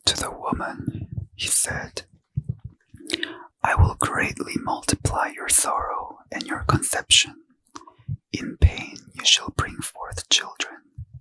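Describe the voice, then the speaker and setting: Guy whispering a bedtime scripture reading real slow, asmr style. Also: low-quality recording. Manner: soft unvoiced whisper throughout. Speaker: ASMR reader.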